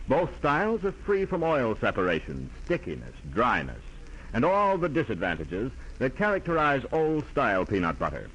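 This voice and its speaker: A man's voice: mid-range voice, breathy edge, poor audio. A man announcing in 1940s radio style, reading scripted copy. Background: loud music.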